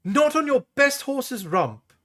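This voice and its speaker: A man voicing a sharp-tongued, bossy young princess who snaps her lines. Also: very animated, slow, full character voice. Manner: snappy, high and firm.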